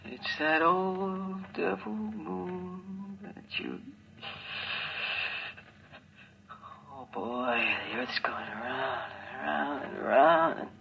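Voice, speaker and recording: A doomed young male scientist radios from the moon in a high, slow-paced voice. He halts and repeats himself in 1950s radio drama style, on a dull vintage recording with a transmission effect. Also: creaky, noisy background. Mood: weak, fading. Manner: halting, repeats himself, breaks off phrases.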